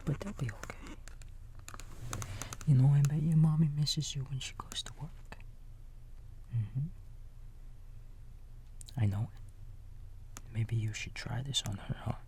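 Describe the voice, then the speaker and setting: A man in a soft breathy whisper, gently and soothingly reading a bedtime story like a big-brother babysitter, with casual asides. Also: mid-range voice, steady tone, slow pace, long pauses, a little hiss or hum.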